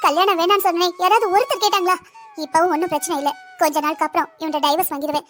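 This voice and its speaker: A woman voicing a resentful young bride and protective mother in a cartoon drama, sharp and confrontational. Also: very high-pitched, steady tone, rapid-fire. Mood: resentful, angry. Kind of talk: Tamil cartoon drama dialogue.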